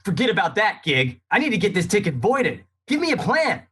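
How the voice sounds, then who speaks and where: An actor playing a hungover, cranky young float racer with a husky voice and exasperated, whiny delivery in a comic radio drama. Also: mid-range voice, sing-song, a little fast, a bit bright. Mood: impatient, resigned.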